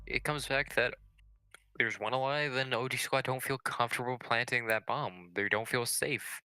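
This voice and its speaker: A man's voice: mid-range voice, moderate pace, sharp tone, no room sound, rough recording. A man giving analytical co-commentary on a live competitive video game match. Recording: clear game gunfire and noise behind.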